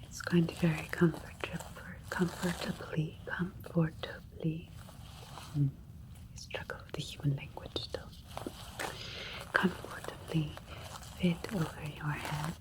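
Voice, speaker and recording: A woman playing a gentle, soothing alien doctor in an ASMR roleplay, speaking in a breathy whisper with a clinical manner. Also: deep voice, level tone, slow pace, pauses often, low-quality recording. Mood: calm, clinical.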